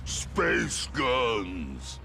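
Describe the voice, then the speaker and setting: A man voicing a hulking, territorial mutant turtle who speaks in broken, short words with a gravelly voice. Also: mid-range voice, wildly swooping pitch, extremely slow, shouting, noisy background, exaggerated voice. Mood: blunt. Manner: broken short speech, grunting. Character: hulking male mutant turtle loner, simple-minded, territorial.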